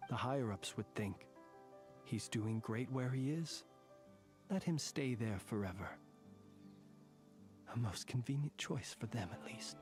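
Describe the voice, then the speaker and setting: An actor playing a grave, courtly soldier in a video game, speaking in formal, measured, deliberate phrases. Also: male voice, medium pitch, darkish tone, lightly acted. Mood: somber. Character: grave, composed, courtly male soldier, quietly vengeful. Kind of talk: scripted video game voice acting. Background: game music.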